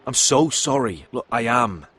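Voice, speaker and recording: A man's voice: medium pitch, animated, a little slow, fairly bright tone. An actor playing a loyal, roguish young nobleman turned sellsword in a video game, earnest and familiar in manner. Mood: apologetic. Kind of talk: dramatic video game voice acting. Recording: faint background ambience noise.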